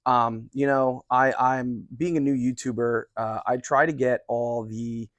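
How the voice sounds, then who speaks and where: Man, forties, medium pitch, dry sound, casual reviewer's chat, rambling, polite and appreciative. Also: level tone, unhurried, no room sound. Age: 40s. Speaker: cigar reviewer and video host.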